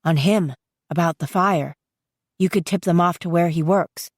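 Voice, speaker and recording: Lady reading as a chill college guy, gentle, measured, a bit lower n softer, super dry audiobook. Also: no echo, lightly acted. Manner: low-key, gentle, measured. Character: calm, supportive young college man, quietly thoughtful. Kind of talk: dramatised audiobook dialogue.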